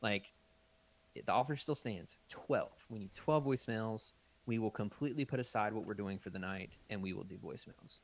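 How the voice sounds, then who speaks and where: A man's voice: lowish voice, moderate pace. A man chatting casually as the host of a podcast. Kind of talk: casual live podcast chat.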